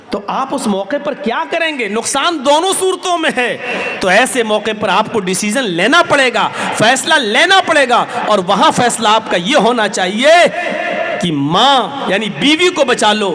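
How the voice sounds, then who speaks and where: A man preaching an impassioned public sermon in an oratorical way, with emphatic repetition and rhetorical questions. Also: extremely high pitch. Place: large public gathering, over a PA system. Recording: echoey loudspeaker hall, crowd behind.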